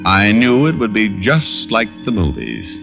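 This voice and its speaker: An American actor playing a dry, wisecracking hard-boiled private detective in old-time radio style. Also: male voice, on the low side, wildly swooping pitch, a little slow, creaky, full character voice. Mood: brooding, wry. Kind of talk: 1940s-50s radio detective acting, first-person narration. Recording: dull old radio recording with music.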